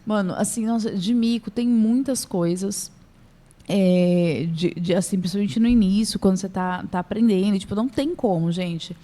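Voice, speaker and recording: A Brazilian woman hosting a casual podcast chat, laughing often and talking in an animated, chatty way. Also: medium pitch, normal speed. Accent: Brazilian Portuguese. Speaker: podcast host.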